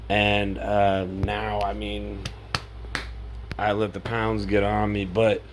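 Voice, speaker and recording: A man in his forties, hoarse from singing, rambling in an unscripted vlog from his home computer setup. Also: lowish voice, completely flat delivery, slow pace, slight background noise, low-quality recording. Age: 40s. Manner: rambling, loose, self-interrupting. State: raspy from singing. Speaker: vlogger. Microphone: home studio mic through audio interface.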